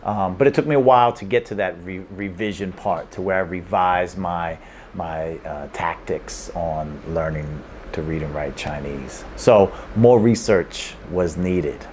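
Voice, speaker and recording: A man's voice: sits low, unhurried, a little mellow, slight background noise. A man giving casual language-learning advice to camera, rambling and repeating himself with restarts and small laughs. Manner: rambling and repetitive, with many restarts and chuckles. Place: room in Hong Kong.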